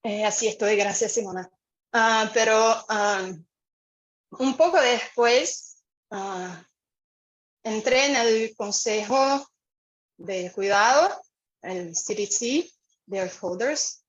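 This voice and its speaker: A woman from Brazil with a Brazilian Portuguese accent speaking on a video call, unhurried and searching for words with many pauses. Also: medium pitch, lo-fi sound. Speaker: community member sharing. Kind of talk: online meeting talk. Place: home room with a fan.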